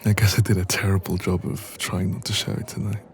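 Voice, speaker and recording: A man playing an insecure, anxious young man confiding in a friend in an intimate audio roleplay, soft-spoken and hesitant. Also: low voice, level tone, a little fast. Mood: downcast, vulnerable.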